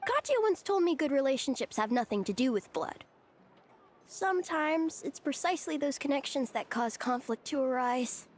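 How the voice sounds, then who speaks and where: A woman's voice: high voice, lively intonation, normal speed. A woman voicing a curious, naive little child in a video game class. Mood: curious, thoughtful.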